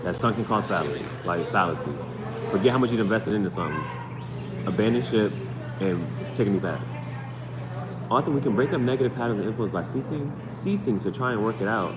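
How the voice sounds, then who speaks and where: A man's voice: fairly low pitch, expressive, brisk, a loud crowd. A man hosting a casual live tarot reading, partly reading aloud and partly improvising, repeating phrases for emphasis. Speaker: tarot reader hosting a livestream.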